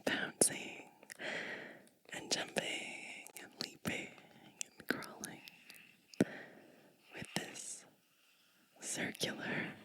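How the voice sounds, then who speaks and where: Very slow, whispering woman doing ASMR. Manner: hushed, unhurried, pausing between short phrases. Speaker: ASMR host reading children's storybooks. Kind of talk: whispered ASMR, introducing a storybook.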